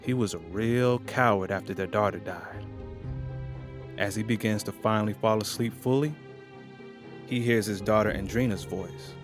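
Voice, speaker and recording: Male narrator for audio drama, lower-pitched, scripted storytelling delivery with clear music underneath. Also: moderate pace, long pauses.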